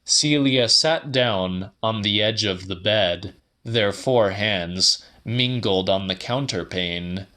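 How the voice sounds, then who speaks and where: Slow, bright-voiced man narrating a novel. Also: lowish voice. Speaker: audiobook narrator. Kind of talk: scripted, slightly dramatic literary narration.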